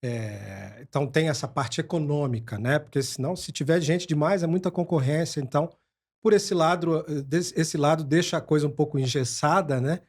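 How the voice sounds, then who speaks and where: A man from Brazil hosting a podcast, thinking aloud in a halting way with many restarts. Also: on the high side. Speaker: podcast host. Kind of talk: casual interview chat.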